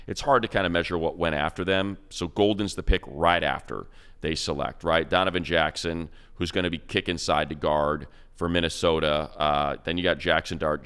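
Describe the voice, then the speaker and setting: Casting: deep, smooth male sports announcer, rambling and emphatic. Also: fairly bright tone. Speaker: radio play-by-play announcer and co-host. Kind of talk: animated football talk.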